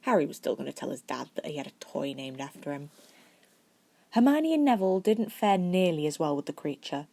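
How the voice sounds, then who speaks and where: A woman with a low-pitched voice narrates fiction. She reads from a script in an amateur audiobook manner, with the odd stumble that she corrects. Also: sing-song, brisk, vocal fry, warm tone. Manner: reading aloud with stumbles and corrections.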